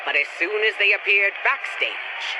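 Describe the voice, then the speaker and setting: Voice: mid-range voice, moderate pace, background noise, lightly acted. A narrator telling a radio drama story in a dramatic, storybook manner.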